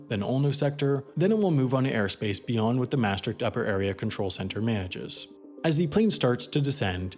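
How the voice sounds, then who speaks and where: Man narrating a lively explainer over music. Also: mid-range voice. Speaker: documentary narrator.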